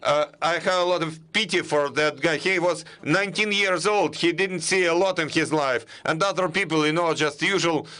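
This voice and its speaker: A young man from Ukraine giving a halting, hesitant statement at a press briefing, often restarting his sentences. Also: on the high side, sharp tone. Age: young adult.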